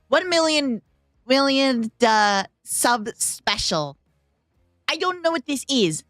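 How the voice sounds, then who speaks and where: A woman streamer chatting casually with her viewers, her voice hoarse, bubbly and talkative. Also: high-pitched, sharp tone, dry recording. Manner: bubbly, talks to chat, hums tunes. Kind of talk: casual livestream reaction chat.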